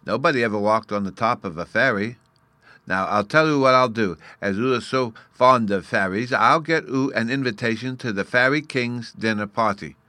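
A man's voice: medium pitch, normal speed, bright, forceful tone, dry recording, pitched above the performer's own voice, natural voice. A man voicing a tiny, earnest and proud boy fairy from a storybook, scornful at times, in childish baby talk with mispronounced words. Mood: thoughtful, generous. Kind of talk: storybook character reading.

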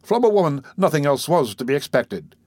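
A man voicing a pompous, self-important male servant in a storybook, telling his tale with drawn-out emphasis. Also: fairly high pitch, sing-song, a little mellow, no room sound. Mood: smug. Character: pompous male household servant proudly recounting a robbery. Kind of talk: dramatised storybook dialogue.

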